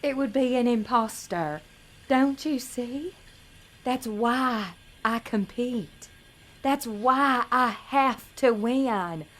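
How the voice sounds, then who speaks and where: Woman playing a slow, high-voiced, earnest pageant girl. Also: fairly bright tone. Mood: solemn, earnest. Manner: earnest, prim, overwrought. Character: naive, earnest, melodramatic young pageant contestant woman. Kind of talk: audio drama acting.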